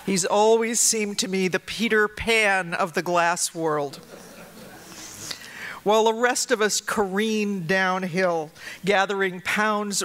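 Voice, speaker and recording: A woman's voice: mid-range voice, fairly bright tone. A woman introducing a speaker at a conference, reading a scripted introduction with dry, teasing humor. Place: conference lecture hall.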